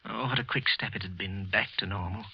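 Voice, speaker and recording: An actor playing a guilty, nervous office worker in a vintage radio thriller, speaking in a deliberate, intense, brooding manner. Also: male voice, lowish voice, steady tone, breathy edge. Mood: shaken, nervous. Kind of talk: old-time radio thriller acting, first-person narration. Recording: muffled vintage radio recording with music.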